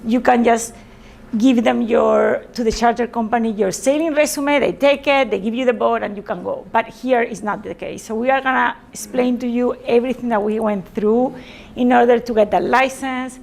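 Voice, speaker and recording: A woman from Spain with a Spanish accent gives an informal presentation to a group, haltingly, often repeating and correcting words. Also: fairly high pitch, steady tone, creaky, darkish tone. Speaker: presenter, sailor.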